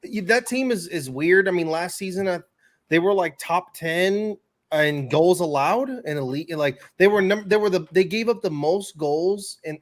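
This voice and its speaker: A man co-hosting a casual soccer podcast, giving emphatic, slangy opinions in conversation. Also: a little high. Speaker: podcast co-host and soccer pundit. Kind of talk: casual sports discussion.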